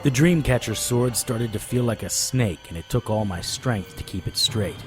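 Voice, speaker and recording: A man's voice: mid-range voice, normal speed, faint music behind, lightly acted. A man playing a tough monster hunter in a scripted audio drama, terse, hard-boiled and defiant. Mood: grim, intent. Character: tough, defiant male monster hunter, narrating his own tale. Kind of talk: scripted first-person audio drama narration and acting.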